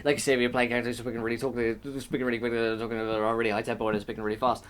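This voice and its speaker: A man's voice: medium pitch, rapid-fire, a little hiss or hum. Man, game master, casual tips, rambling, full of fillers, medium voice. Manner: relaxed, rambling explanations with many fillers. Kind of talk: casual chat giving tips.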